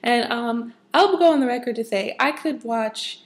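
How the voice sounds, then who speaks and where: A young adult woman with a slightly hoarse, husky voice, giving a casual, emphatic rant as a vlogger. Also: fairly high pitch, normal speed. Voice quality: a bit husky. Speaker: vlogger, fan of a TV show.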